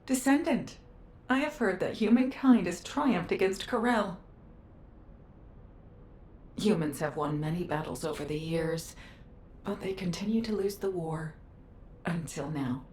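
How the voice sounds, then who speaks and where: An actress playing a cool, dignified female councillor in a video game, speaking in a composed, formal, deliberate way. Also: fairly low pitch, lively intonation, a little mellow, slight background noise. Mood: impressed. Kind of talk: scripted video game dialogue.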